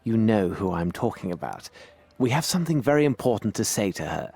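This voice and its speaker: An actor playing a shrewd English gentleman detective in a video game, with a British accent and precise, courteous, commanding speech. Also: fairly low pitch, sing-song, brisk, vocal fry, husky, exaggerated voice. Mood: courteous, earnest. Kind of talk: video game cutscene acting.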